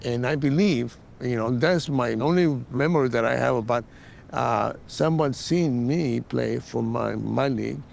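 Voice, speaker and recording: Older Mexican-born man, creaky voice, reminiscing. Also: measured pace, a little mellow. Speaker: interview guest, former soccer player. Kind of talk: casual reminiscence. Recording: faint rumbling background noise.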